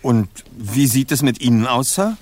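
Man playing a polite, lively young detective. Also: mid-range voice, moderate pace, natural voice. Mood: courteous. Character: polite, articulate, self-assured teenage boy detective. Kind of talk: German audio drama acting.

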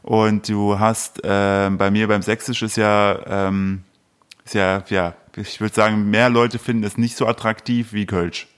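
A man's voice: lowish voice, level tone, measured pace, smooth. A young man from eastern Germany co-hosting a casual podcast chat, hesitant and full of fillers while he teases his co-host. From Thuringia, eastern Germany. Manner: hesitant, many fillers, teasing.

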